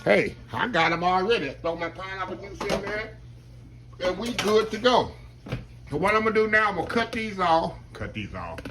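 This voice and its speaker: A man, chatting to viewers as he cooks in his home kitchen, his voice slightly hoarse. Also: high-pitched, sing-song, normal speed, music in the background. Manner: chatty, keeps addressing viewers as y'all. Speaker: home cook. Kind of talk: casual cooking demonstration.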